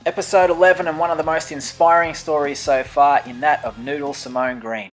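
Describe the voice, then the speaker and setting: A man's voice: fairly high pitch, steady tone, brisk, low-quality recording. A man hosting a talk show, recapping a season of interviews in an upbeat, announcer-like way. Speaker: host.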